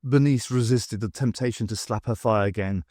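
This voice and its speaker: A man reading scripted fiction aloud in an expressive, dramatic storytelling manner. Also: fairly low pitch, normal speed, dry recording, studio quality. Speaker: audiobook narrator. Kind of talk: scripted fiction narration with character voices.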